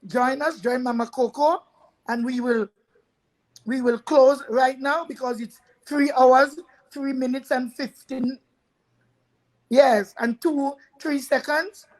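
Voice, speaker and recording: A woman's voice: high voice, steady tone, slow pace, pauses often, low-quality recording. A woman hosting a live talk show, chatty, addressing viewers and repeating words as she goes. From Trinidad.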